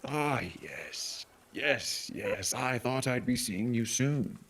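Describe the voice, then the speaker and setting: A man voicing an eccentric, eerie old wandmaker, soft-spoken and musing, in a theatrical storybook reading. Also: mid-range voice, expressive, breathy edge, heavily acted. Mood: wistful, hushed. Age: older. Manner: musing, theatrical, drawn-out phrasing with sudden eager bursts. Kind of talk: dramatic audiobook reading with character voices.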